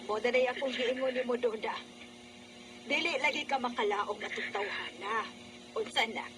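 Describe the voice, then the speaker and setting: An actress plays a worried, scolding older mother with a Cebuano accent in a radio drama. The woman's voice is high and exasperated, and the recording is plainly noisy. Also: moderate pace, lightly acted. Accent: Cebuano Filipino. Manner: nagging, exasperated.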